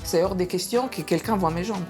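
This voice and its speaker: Italian-accented woman, 30s, hesitant interviewee, slightly low voice, backing music. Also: a little fast. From Sardinia, Italy. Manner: hesitant, many restarts and fillers. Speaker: cosmetic surgery patient. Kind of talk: documentary interview. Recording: music playing underneath.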